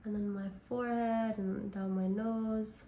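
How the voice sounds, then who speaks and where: A woman from Canada, hoarse and raspy from a cold, chatting casually as a beauty vlogger through a makeup tutorial. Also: medium pitch, flat delivery, measured pace, warm tone, echoey room, a little hiss or hum. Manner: chatty, says like and honestly a lot.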